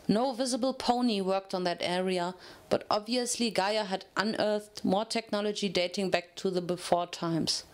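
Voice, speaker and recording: A woman narrator giving a dramatic reading of a story. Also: mid-range voice.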